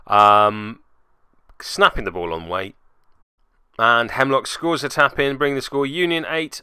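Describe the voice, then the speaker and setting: Wry man with a bright, crisp voice. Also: mid-range voice, dry recording, studio quality. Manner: wry, self-deprecating. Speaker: host narrating a tabletop game battle report. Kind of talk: casual recorded commentary.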